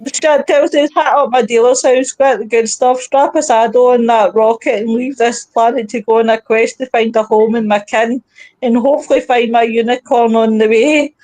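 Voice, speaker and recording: A woman's voice: a little high, fairly even intonation, normal speed, darkish tone. A woman hosting a live show in casual chat, rambling animatedly through stories with some swearing and reading out comments from viewers.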